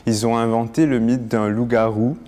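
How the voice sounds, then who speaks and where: A stage director from Mauritius explaining his project in an interview, in a steady storytelling way. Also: mid-range voice, moderate pace, long reverb tail.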